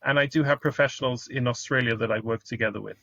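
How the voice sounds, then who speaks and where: A man's voice: mid-range voice, steady tone, quick pace, gravelly, a bit bright, no echo. British man on a dull, dry call. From United Kingdom. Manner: polite, explanatory, with frequent restarts and fillers. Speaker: accountant, interview guest. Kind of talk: casual interview answers. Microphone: remote call microphone.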